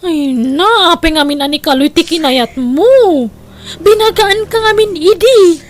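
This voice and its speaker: High-voiced fretful mother, acted by a woman. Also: measured pace. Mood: worried, reproachful. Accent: Ilocano. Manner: fretful, admonishing. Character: worried, reproachful mother of a grown daughter. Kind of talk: audio drama acting.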